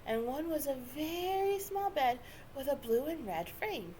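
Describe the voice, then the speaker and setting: A woman reading a children's story aloud with expressive, dramatic narration. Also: high voice, unhurried, a little hiss or hum. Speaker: storybook reader.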